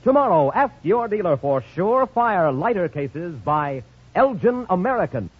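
A man's voice: high voice, big pitch swings, normal speed, breathy edge, phone-quality sound. A man reading a scripted 1950s radio advertisement on an old broadcast recording. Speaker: commercial announcer.

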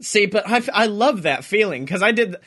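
A man with a notably high, bright and forceful voice chats on a comedy podcast. He is animated and slangy, talking like someone joking around with a friend. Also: brisk. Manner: animated, jokey, slangy, acts out exaggerated quotes. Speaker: podcast co-host. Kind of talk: casual comedic podcast chat.

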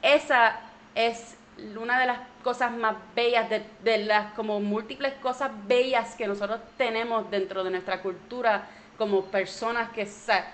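A woman's voice: fairly high pitch, fairly even intonation, measured pace, a bit bright, a little hiss or hum. A woman with a Puerto Rican Spanish accent, a guest in a casual interview over a video call, who often restarts her sentences and mixes in English words.

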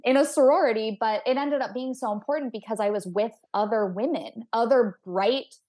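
A woman's voice: fairly high pitch, moderate pace, dark tone. Outgoing, talkative woman guest. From United States (Texas). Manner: chatty, outgoing, candid and reflective. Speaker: guest astrophysicist. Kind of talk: podcast interview conversation.

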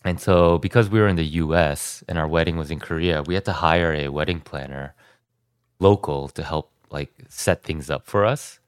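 Very low-voiced man chatting casually. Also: moderate pace, clean tone, dry recording, studio quality. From United States. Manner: relaxed, rambling, frequent restarts and fillers. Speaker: podcast guest. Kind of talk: casual conversation.

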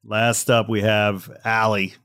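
A man's voice: lowish voice, little pitch movement, slow pace, fairly bright tone, clear, resonant tone, no echo, studio quality. A man hosting a podcast in a casual, wry, conversational way, speaking straight to the listeners. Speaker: podcast host.

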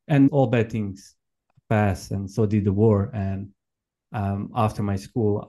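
A man in his thirties from Croatia with a Croatian accent, telling his own story conversationally as an interview guest, in halting, reflective non-native English. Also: on the low side, a little mellow. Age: 30s. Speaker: podcast guest, permaculture farmer and educator. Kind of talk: conversational personal account.

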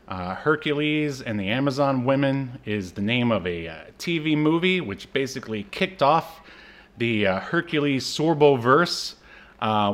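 Guy co-hosting a movie podcast, middle pitched voice, informal and jokey chat. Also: fairly bright tone. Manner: joking, informal. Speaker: podcast co-host. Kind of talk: casual chat about movies.

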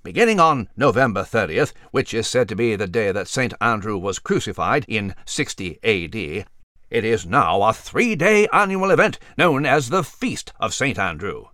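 Casting: prim mouse newsreader, lively intonation, formal and long-suffering, dry studio sound. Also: male voice, lowish voice, moderate pace, no room sound. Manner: formal, erudite, fussy. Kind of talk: mock newscast in comedic audio drama.

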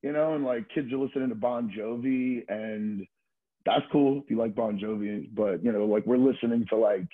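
Middle-aged man, husky voice, casual storyteller. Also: medium pitch, normal speed, phone-quality sound. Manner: informal, slangy, swearing, frequent "like" and "you know". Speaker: podcast guest, skateboarder.

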